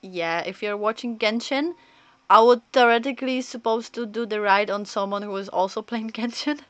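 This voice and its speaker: A woman's voice: on the high side, normal speed, fairly bright tone, dry recording. A woman streaming a game, commenting casually in a chatty, rambling, hesitant way. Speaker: livestreamer.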